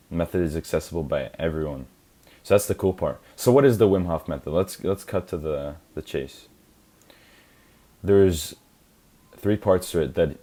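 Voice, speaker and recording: Deep-voiced man, worn-out voice. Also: dark tone. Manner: casual, rambling, many fillers and restarts. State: tired, worn-out voice. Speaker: lecturer. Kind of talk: informal live lecture to listeners.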